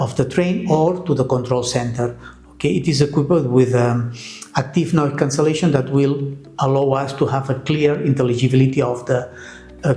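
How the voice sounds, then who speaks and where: A man with non-native English presents products in a step-by-step, somewhat halting way. His voice sits at a medium pitch in an echoey room, with music playing underneath. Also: darkish tone. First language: not English. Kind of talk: semi-scripted product presentation.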